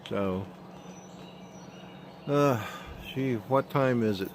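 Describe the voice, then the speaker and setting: A man with an Oklahoma drawl talks in a flat monotone, taking his time between words and filling the gaps with "uh". He is streaming live from a phone held in his hand outdoors, with a faint hiss of noise behind him. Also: mid-range voice. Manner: slow, drawling, halting with many fillers. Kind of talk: casual live stream chat, reading viewer comments. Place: outdoors at sunrise. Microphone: handheld phone.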